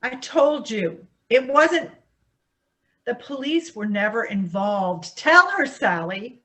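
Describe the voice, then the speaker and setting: A woman's voice: fairly high pitch, expressive, takes it slowly, sharp tone, heavily acted. A woman acting in a staged play reading as a defensive, embittered divorcee with an evasive, formal way of talking. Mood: indignant.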